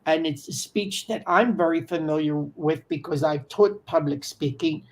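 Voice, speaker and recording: A man's voice: on the high side, normal speed. A man in his seventies speaks as a podcast host. He chats casually over a video call from his home study and tends to repeat details back to confirm them. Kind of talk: casual interview chat.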